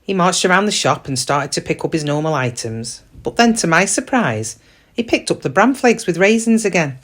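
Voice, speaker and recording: A woman's voice: on the low side, animated, normal speed. A middle-aged woman playing a downtrodden shop cashier in a reflective, confiding, plain-spoken dramatic monologue about her regrets. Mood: reflective, hopeful. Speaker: actor in a monologue. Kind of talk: first-person dramatic monologue.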